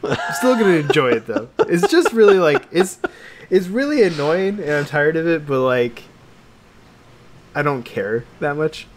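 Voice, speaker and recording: A man co-hosting a podcast in casual chat, talking like an excitable fan who swears freely. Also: a little high, unhurried, a little hiss or hum. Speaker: podcast co-host.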